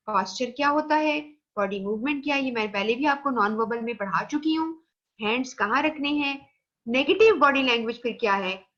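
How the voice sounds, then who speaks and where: Female lecturer, Pakistani Urdu accent, slightly high voice, muffled lo-fi recording; patient, explanatory. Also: a bit bright. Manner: explains patiently, mixes English phrases, repeats "theek hai" checks. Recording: dull lo-fi recording.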